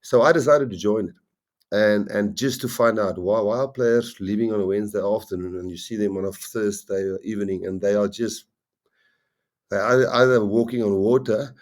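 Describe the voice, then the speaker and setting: Man, Afrikaans accent, middle-aged, low-pitched, warm dark tone, halting casual chat, rambling restarts. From South Africa. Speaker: rugby coach. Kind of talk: casual live-stream chat.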